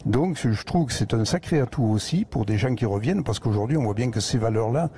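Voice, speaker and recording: A man's voice: mid-range voice, lively intonation, quick pace, warm tone, a little hiss or hum. A man with a Lozère accent, an agricultural educator telling rambling anecdotes as a guest in a live radio interview broadcast from a museum. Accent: Lozère, southern France.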